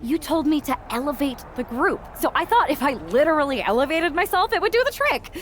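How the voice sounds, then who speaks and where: Eager young witch wrestler, high pitch, very animated, overdramatic, game cutscene, faint noise. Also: brisk. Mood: defensive. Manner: perky, pleading, overdramatic. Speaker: voice actor in a video game cutscene. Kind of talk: animated video game acting.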